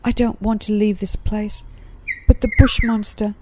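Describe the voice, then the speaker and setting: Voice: medium pitch, flat delivery, measured pace, noisy background, voice pushed up, natural voice. A storybook narrator voicing a frightened, adventurous young male koala with an urgent, exclaiming manner. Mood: terrified.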